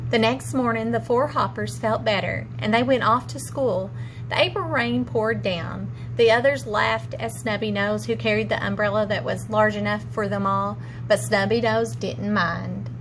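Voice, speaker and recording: A woman's voice: medium pitch, fairly even intonation, normal speed, noisy background. A woman, a teacher, narrating a children's storybook aloud.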